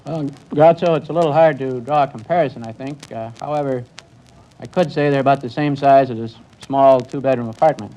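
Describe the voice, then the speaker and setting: Earnest, measured American man answering interview questions. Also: mid-range voice, dark tone, lo-fi sound. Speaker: naval submarine commander, quiz contestant. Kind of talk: interview answers on a quiz show.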